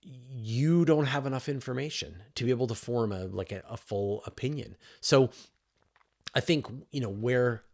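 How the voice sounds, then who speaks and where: A man's voice: mid-range voice, moderate pace, darkish tone. A man hosting a live science question show as a journalist, talking casually and emphatically and often rephrasing mid-thought. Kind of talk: casual livestream Q&A, reading questions and answering off the cuff.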